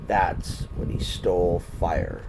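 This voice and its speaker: A man reading a children's storybook aloud as a storyteller, with deliberate phrasing and pauses. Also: sits low. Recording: steady air-conditioner noise behind.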